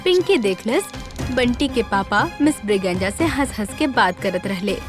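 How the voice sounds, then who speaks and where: A woman with a Bhojpuri accent giving scripted narration for an animated children's story. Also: fairly high pitch, moderate pace, music in the background.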